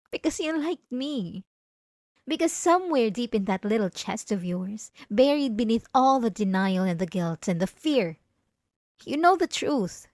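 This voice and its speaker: A woman playing a possessive, obsessive wife in a dramatic ASMR roleplay, speaking in an intimate, taunting and insistent way with drawn-out emphasis. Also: a little high, a little mellow, no echo, clean studio sound, exaggerated voice. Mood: insistent, impassioned. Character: possessive, obsessive yandere wife, sweetly menacing and manipulative.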